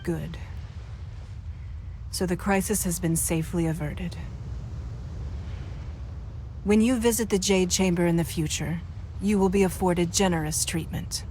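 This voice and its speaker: A woman's voice: fairly low pitch, steady tone, measured pace, long pauses, dark tone, a little hiss or hum, natural voice. A woman playing a poised, commanding noble city leader in a video game, speaking with composed, elegant authority. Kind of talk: video game cutscene acting.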